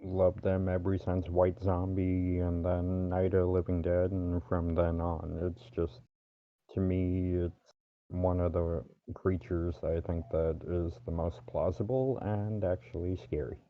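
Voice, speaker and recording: A man's voice: low-pitched, flat delivery, unhurried. A man co-hosting a casual podcast chat, speaking unhurriedly and bluntly. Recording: dull lo-fi mic.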